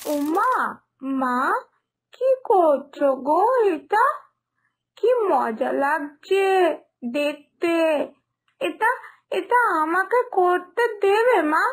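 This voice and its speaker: A woman's voice: extremely high pitch, animated, drawn-out words, long pauses, full character voice. A woman voicing a playful, naive little village girl in a cartoon moral story, coaxing and pleading. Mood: eager pleading.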